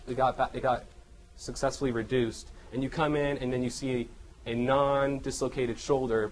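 A man presenting radiology cases as a teaching lecture, halting and often correcting himself, with questions to his listeners. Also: mid-range voice. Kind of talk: medical case lecture with questions to the audience. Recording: noisy live lecture room recording.

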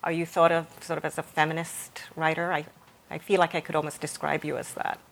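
A woman's voice: low voice, level tone, fairly quick, creaky, poor audio. A woman from the West Indies interviewing a writer in conversation, building long, tentative questions with a self-deprecating manner. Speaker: interviewer, fellow novelist.

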